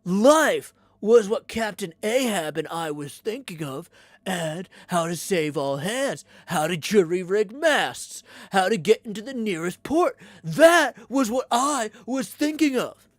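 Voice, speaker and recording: A streamer voicing a stern, fiery old sea captain who preaches and scolds loudly. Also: big pitch swings, slow pace, no echo, clean studio sound. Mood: fiery, indignant. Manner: booming, preachy, indignant.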